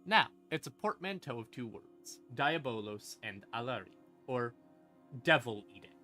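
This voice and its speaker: A man explaining game lore on a casual livestream, conversational and playful, with dramatic pauses and asides. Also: mid-range voice, lively intonation, pushed and bright. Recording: ambient music behind the voice. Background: creepy ambient music.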